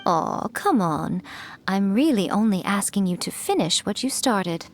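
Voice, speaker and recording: A woman's voice: mid-range voice, sing-song, unhurried. An actress playing a sly, teasing, ageless yokai woman in a video game, with a smug, poised and playful manner. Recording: clearly audible background noise.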